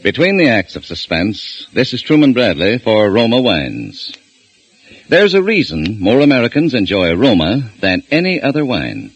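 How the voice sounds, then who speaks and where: A man's voice: lowish voice, huge pitch swings, moderate pace. A man reading a scripted sponsor announcement between acts of a 1940s radio drama. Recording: lo-fi old radio broadcast.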